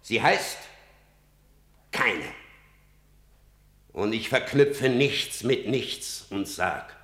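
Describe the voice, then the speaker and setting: An actor playing a grave old seer in a declamatory verse radio drama, haltingly delivering dark warnings. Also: male voice, mid-range voice, vocal fry, roomy sound, heavily acted. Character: grave old male seer, shuddering at war.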